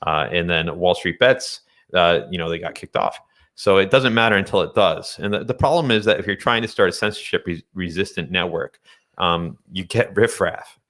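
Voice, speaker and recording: Very deep, bright-voiced man. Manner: halting, thinking aloud. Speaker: guest, day trader. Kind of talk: casual discussion of finance and tech.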